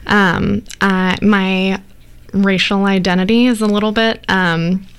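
A woman in her twenties from Oregon, a panel guest speaking hesitantly with many pauses and fillers. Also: mid-range voice, level tone, smooth. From Portland, Oregon, USA. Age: 20s.

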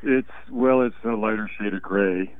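A hoarse, congested man with a gravelly voice, speaking as a guest on a call. He talks slowly and haltingly and often trails off. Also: fairly low pitch, dry recording. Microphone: phone call. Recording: tinny phone line, dry.

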